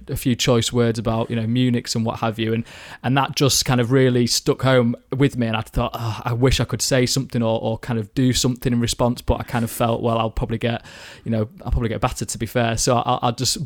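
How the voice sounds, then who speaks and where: A man's voice: medium pitch, level tone, quick pace, few pauses, dry recording. A young man from the Manchester area chatting casually about football, rambling with lots of fillers and restarts. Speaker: football podcast guest. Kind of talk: casual chat.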